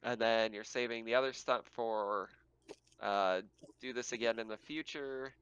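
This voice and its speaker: A man's voice: medium pitch, steady tone, a little slow, a bit bright, clear, resonant tone, dry recording, dull audio. A man acting as game master, casually talking players through a tabletop roleplaying game.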